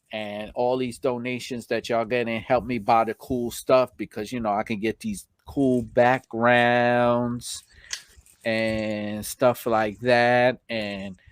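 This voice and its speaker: A man hosting a casual live stream, chatting informally with slangy shout-outs to viewers, his voice slightly hoarse from long talking. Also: medium pitch, no echo, studio quality.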